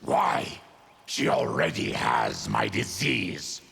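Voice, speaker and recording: A man voicing a feral, diseased monster warrior in a video game, with a gravelly rasp and a grave, menacing, formal manner. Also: very deep voice, huge pitch swings, takes it slowly, pushed and bright, soft background music, exaggerated voice. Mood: grim. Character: feral, diseased monster warrior, a proud brooding former tribune. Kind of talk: video game character acting.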